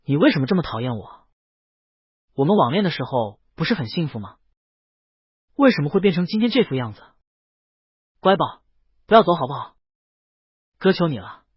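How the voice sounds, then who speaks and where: A man's voice: on the high side, very animated, normal speed, long pauses, breathy edge, no echo, exaggerated voice. A man voicing a proud, possessive, lovesick young man in an audiobook romance, coaxing and intense. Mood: pleading. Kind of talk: audiobook character dialogue in a romance. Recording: dull lo-fi, very dry close recording.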